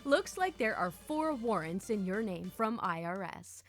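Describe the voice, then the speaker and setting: A woman's voice: mid-range voice, big pitch swings, unhurried, faint music behind. Woman reading as a smug male phone scammer. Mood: smug, official. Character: smug, smooth-talking male phone scammer posing as an official.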